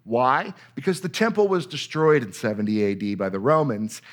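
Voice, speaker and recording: A man's voice: medium pitch, expressive, normal speed. A man preaching a teaching sermon, reading scripture aloud and then explaining it, with emphatic repetition. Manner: emphatic, repeats words for stress.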